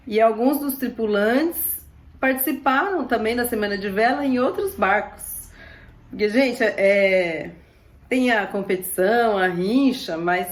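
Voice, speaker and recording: A woman from Ilhabela, chatting casually to camera about sailing while losing her voice and coughing. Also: mid-range voice. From Ilhabela, Brazil. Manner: chatty and upbeat, with frequent laughs and asides. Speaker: sailing vlogger.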